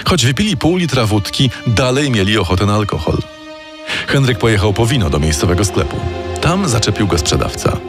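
A man narrates a true-crime story in a measured, dramatic radio style. His pitch moves a lot, and music plays clearly beneath his voice. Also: sing-song. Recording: narration over loud music.

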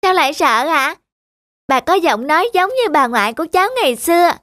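A high-voiced, innocent little girl. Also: expressive, brisk, a bit bright, dry recording, clean studio sound. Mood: friendly, curious. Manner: polite, earnest. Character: innocent, kind, curious little schoolgirl. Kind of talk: dubbed cartoon dialogue.

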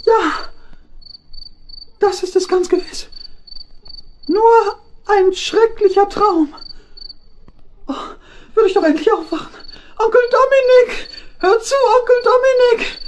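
Tiny squeaky hedgehog boy, very high. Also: darkish tone. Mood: anxious, plaintive. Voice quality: squeaky, childlike. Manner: slow, drawn-out lamenting. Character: small frightened hedgehog, childlike, male, plaintive. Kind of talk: children's audio play acting. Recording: faint noise from old vinyl record.

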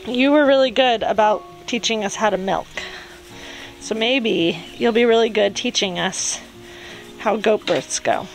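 A woman's voice: mid-range voice, measured pace, faint music behind, poor audio. A woman in her thirties chatting casually outdoors on a rural homestead, cheerful and teasing. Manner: cheerful, teasing, coos to animals. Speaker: homesteading vlogger.

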